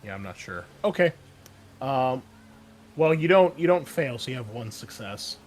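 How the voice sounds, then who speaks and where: A man narrating and running a tabletop game as game master, in a relaxed, loose way with plenty of fillers. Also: mid-range voice, normal speed, slight background noise.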